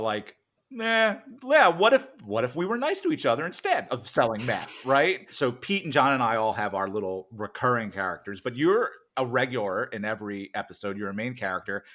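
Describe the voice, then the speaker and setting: A man's voice: medium pitch, fairly bright tone. A man interviewing a colleague in a casual, conversational way. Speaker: podcast cast member interviewing a colleague. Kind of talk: casual chat.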